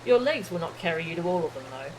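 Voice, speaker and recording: A woman voicing an earnest, eloquent explorer from a fantasy game, with formal, flowery, measured delivery. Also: fairly low pitch, lively intonation, background noise, lightly acted. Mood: inviting, enthusiastic. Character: earnest, eloquent explorer welcoming a fellow adventurer. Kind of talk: fantasy game dialogue read aloud. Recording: game music behind the voice.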